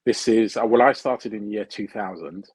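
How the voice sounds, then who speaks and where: A middle-aged British man answering interview questions in a measured way, repeating phrases as he searches for words. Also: on the low side, darkish tone, slightly rough, dry recording. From United Kingdom. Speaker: guest, procurement consultant.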